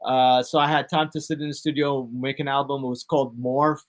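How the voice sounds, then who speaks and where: A man from the United States, a DJ and producer, talking casually in an interview, relaxed and rambling with many fillers and restarts. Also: medium pitch, brisk. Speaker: guest DJ and producer.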